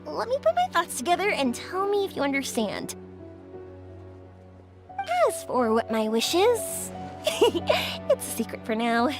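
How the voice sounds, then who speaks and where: An actress playing a cheerful, excitable young fireworks maker with a big heart in an English-voiced anime-style game, speaking in an earnest, animated way. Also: female voice, high voice, a little slow, long pauses, full character voice. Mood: playful, confident. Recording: music bed behind studio dialogue.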